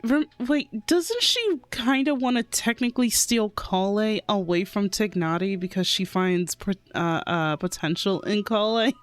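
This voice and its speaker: A woman streamer giving casual, excitable and giggly reaction commentary on a game. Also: mid-range voice, unhurried, hardly any pauses, no echo.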